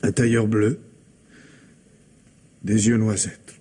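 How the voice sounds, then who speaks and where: A man's voice: fairly low pitch, level tone, slow, warm tone, breathy edge. A man playing a distraught, defensive widowed husband in a French radio mystery in 1950s style, hesitant and protesting. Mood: anxious.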